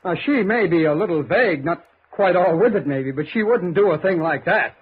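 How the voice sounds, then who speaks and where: A defensive, aggrieved young American man in an old radio mystery. His very husky voice swings widely in pitch, hesitant and evasive, quick to take offence. The recording is dull and lo-fi. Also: animated, full character voice. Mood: indignant, agitated. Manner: hesitant, evasive, turning agitated. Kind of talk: 1950s radio drama acting.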